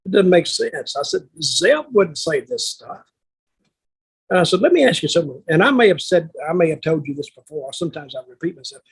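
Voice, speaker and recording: An older man with a raspy voice tells wrestling stories casually as a veteran, bluntly and with salty language. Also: fairly high pitch, sing-song, brisk, low-quality recording. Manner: blunt, salty, acts out remembered exchanges. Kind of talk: casual anecdotal storytelling.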